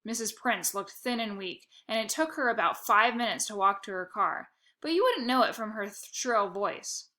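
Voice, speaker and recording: Bright, expressive woman reading a children's book. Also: mid-range voice, moderate pace. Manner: expressive, playful first-person reading. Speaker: narrator. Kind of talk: reading a children's novel aloud.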